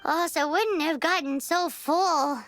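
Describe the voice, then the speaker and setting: Squeaky, piercing little fairy companion. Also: female voice, takes it slowly, a bit bright, no room sound, soft background music, heavily acted. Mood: chirpy. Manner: refers to self in third person. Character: tiny childlike fairy companion, excitable and food-loving. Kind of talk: anime video game voice acting.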